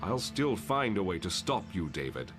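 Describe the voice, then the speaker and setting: A man voicing a calm, fatherly telepath professor in a motion comic, speaking in a measured, wry, articulate way. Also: sits low, music in the background. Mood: resolute. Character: calm, fatherly older male telepath professor confronting his son. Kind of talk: dramatic motion comic voice acting.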